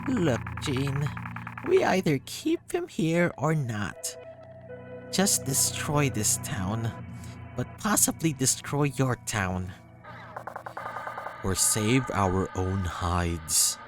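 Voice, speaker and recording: Very low-voiced woman reading game dialogue. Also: sing-song, very slow, pauses often, over music. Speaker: game reader doing all voices. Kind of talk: dramatic read-through of visual novel dialogue.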